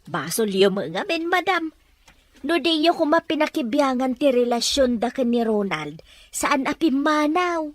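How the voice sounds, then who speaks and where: A woman playing an outspoken but soft-hearted house servant in an audio drama, frank and exclaiming. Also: sits high, big pitch swings, unhurried, no room sound. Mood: reproachful. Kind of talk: Ilocano audio drama acting.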